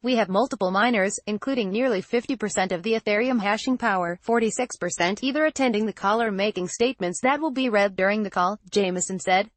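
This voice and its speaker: A woman's voice: mid-range voice, fast talker, hardly any pauses, no room sound. Woman reading news, dry close sound. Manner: formal, reading aloud. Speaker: news narrator. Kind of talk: scripted narration of a news article.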